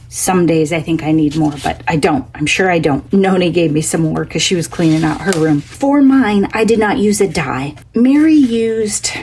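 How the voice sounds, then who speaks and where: Scratchy-voiced woman chatting through a craft tutorial. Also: on the low side. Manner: chatty, homey, thinks out loud. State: scratchy from a cough. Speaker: craft channel host.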